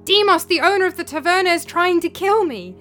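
Woman doing a frantic, rasping man begging for help. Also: extremely high pitch, brisk, soft background music, voice pushed up. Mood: desperate. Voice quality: strained, rasping. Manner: frantic shouting pleas. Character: panicked injured man begging for rescue.

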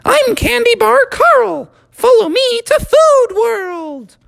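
A tiny candy bar creature with a piercing, squeaky voice. He speaks in a slow, stretched-out way, like a character from a children's read-along storybook. The sound is dry and close. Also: sing-song, gravelly, fairly bright tone, no room sound, full character voice. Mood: cheerful. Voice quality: very high, squeaky.

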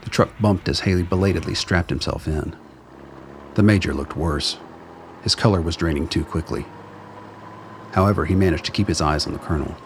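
A man narrating a radio play in a measured, solemn storytelling manner. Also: sits low, a little hiss or hum.

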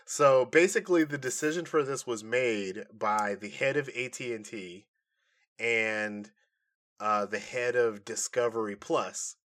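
A man hosting a podcast, explaining things in a casual, animated and informal way. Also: medium pitch, unhurried. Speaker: podcast host. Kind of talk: casual nerd-news podcast chat.

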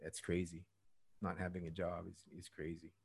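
A man in his fifties from Malaysia talks as a podcast guest, his voice sitting a little low. He tells stories at an easy pace, laughs at himself and often lets a sentence trail off. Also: monotone, normal speed, a crowd in the background. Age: 50s. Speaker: podcast guest, TED speaker. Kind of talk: conversational storytelling.

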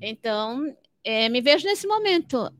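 A woman's voice: sits high, big pitch swings, measured pace, a bit bright, dry recording. An older woman from Fortaleza, answering interview questions in an explanatory way, repeating phrases for emphasis. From Fortaleza, Ceará, northeastern Brazil. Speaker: podcast guest, psychologist.

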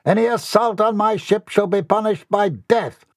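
A man's voice: high voice, normal speed, dry recording, studio quality, pitched above the performer's own voice, natural voice. A man voicing a boy who plays a haughty, theatrical pirate captain in a story. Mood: commanding. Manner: haughty, commanding, dramatic.

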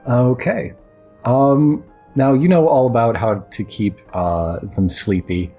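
Man, husky, game master, halting casual narration, dull muffled recording, music underneath. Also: medium pitch, warm tone.

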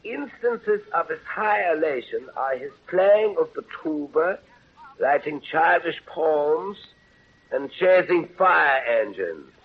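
A self-important Romanian doctor of psychiatry in a 1930s radio play. His voice is husky and a little high, his delivery pompous and deliberate. The recording is dull. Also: expressive, full character voice. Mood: pompous, certain.

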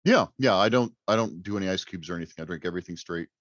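A man's voice: low-pitched, very fast, fairly bright tone, dry recording, dull audio. A man chatting casually as a writer guest on a podcast, wry and joking. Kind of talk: casual chat.

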